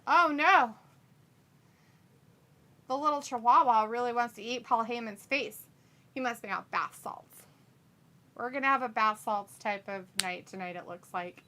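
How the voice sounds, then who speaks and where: Bright, giggly woman chatting on a stream. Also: on the high side, unhurried, long pauses, a little hiss or hum. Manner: giggly and chatty, often breaks into laughter. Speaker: co-host of a wrestling watch-along stream. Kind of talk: casual live chat, reading viewer comments aloud.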